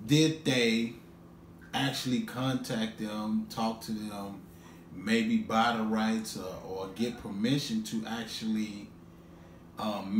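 A middle-aged man hosting a casual, playful reaction video at home, recorded from a camera a few feet away. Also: distant mic. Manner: giggly, breaks into high laughter. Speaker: YouTube reaction host. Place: room at home, indoors. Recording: echoey room, faint background noise.

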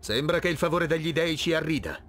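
An actor playing a resolute, wary war-hero admiral, grave and decisive, with a gravelly voice. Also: male voice, fairly high pitch, lively intonation, fast, fairly bright tone, a little hiss or hum. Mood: steady. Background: background music.

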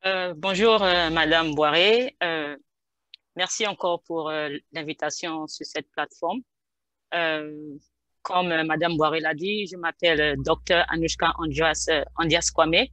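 A woman's voice: low voice, fairly even intonation, unhurried, a bit bright, dry recording, dull audio. A woman in her thirties from Gabon, a pharmacist answering interview questions on a headset from a home office, hesitant with many fillers. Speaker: guest pharmacist. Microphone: headset on video call.

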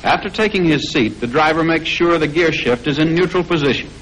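A man with a fairly high-pitched American voice narrates a 1940s military training film. His delivery is formal and measured, like a scripted instruction. The old soundtrack is somewhat noisy. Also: a bit bright.

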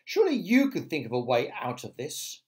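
A man's voice: on the high side, wildly swooping pitch, normal speed. Man as an anxious, coaxing storybook ladybird. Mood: urgent, coaxing. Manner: urgent, coaxing. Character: anxious but kindly female ladybird, coaxing and pleading.

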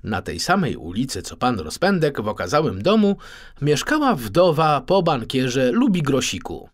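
Husky, very animated male storyteller. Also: mid-range voice, moderate pace. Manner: theatrical, expressive storytelling. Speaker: audiobook narrator. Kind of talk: scripted children's story narration.

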